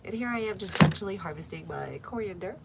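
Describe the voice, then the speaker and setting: A woman's voice: mid-range voice, animated, fairly quick, gravelly, heavy background noise. A woman with a stuffy nose chatting casually on a live stream from her garden, reading viewer comments aloud between fillers. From United States. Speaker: gardening livestreamer. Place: outdoor front garden.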